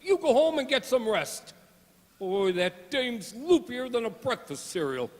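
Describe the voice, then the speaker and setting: A man's voice: extremely high pitch, very animated, a little slow, a little mellow, full character voice. An actor playing a lovesick, blustery gangster king with old-time slang in an amateur radio play. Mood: smitten, breezy. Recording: reverberant hall with clear background noise.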